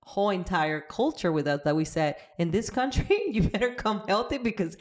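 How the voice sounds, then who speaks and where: A woman's voice: medium pitch, moderate pace. A middle-aged woman from Cuba, hosting an interview in a chatty way, often restarting sentences. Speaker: podcast host. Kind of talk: casual interview chat.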